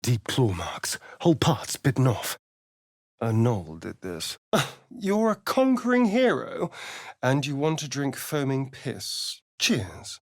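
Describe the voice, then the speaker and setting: An elegant snarky male vampire rogue for a game, arch and dramatic, very animated, dry close recording. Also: a little high, no echo, exaggerated voice. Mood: arch, sardonic. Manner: arch, theatrical, drawling, disdainful. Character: sardonic, foppish male vampire spawn rogue, elegant and theatrical. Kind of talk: video game voice acting, companion dialogue and narration.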